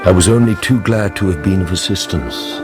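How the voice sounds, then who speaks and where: An actor playing a suave middle-aged count, courteous and guarded, with courtly, measured speech in a radio drama. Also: male voice, low-pitched, over music, natural voice. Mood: courteous, smooth.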